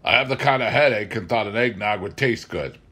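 A man's voice: fairly low pitch, fairly quick, bright, forceful tone, husky. A man voicing a shy, mild logger in a memoir reading, with plain, understated speech. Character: shy, mild hard-drinking male logger.

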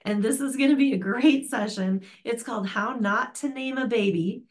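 A woman's voice: fairly high pitch, moderate pace. An older woman hosting an online class, making friendly, chatty announcements over a video call from a home office. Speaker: program host.

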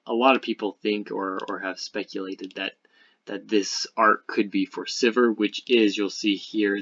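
A man's voice: fairly low pitch, sharp tone, muffled recording. A man giving casual, speculative game commentary over a screen share, rambling and thinking aloud. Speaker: gaming video creator.

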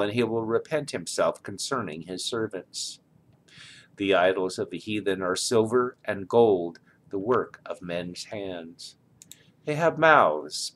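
A man in his sixties reads Bible verses aloud in a solemn style, with measured, declamatory phrasing, indoors near the mic. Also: on the low side, a bit bright. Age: 60s. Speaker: scripture reader. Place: small indoor room, office chair.

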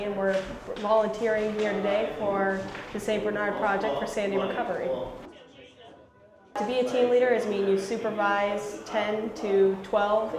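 A young woman, a volunteer team leader, giving an on-camera interview. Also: medium pitch. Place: small room under renovation indoors. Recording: echoey room with busy background voices.

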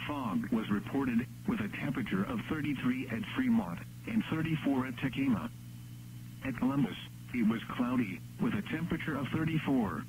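A synthetic male announcer reading scripted weather bulletins in a measured, impersonal text-to-speech delivery. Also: medium pitch, darkish tone. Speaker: automated weather radio announcer. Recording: noisy radio broadcast with hum.

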